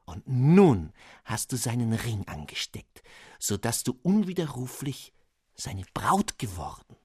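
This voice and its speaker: A man voicing an elated, solemn old father of noble rank, who declaims slowly and rapturously. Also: mid-range voice, very animated, a little mellow, slightly rough, no echo, clean studio sound, exaggerated voice.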